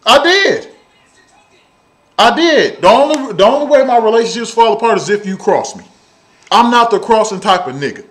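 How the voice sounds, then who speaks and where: A man in his thirties speaks in a distinctly high voice with a Southern American accent. He rants casually like a video blogger, swearing freely. His delivery is blunt and emphatic, and he hammers phrases by repeating them. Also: expressive, normal speed, long pauses. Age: 30s. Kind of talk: casual storytelling rant to viewers.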